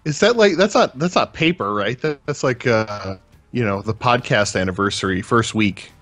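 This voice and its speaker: A man co-hosting a casual podcast over an internet call, chatting in a halting, laid-back way. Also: medium pitch, expressive, a bit bright, no echo. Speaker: podcast co-host. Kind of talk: casual chat. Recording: glitchy internet call, music behind.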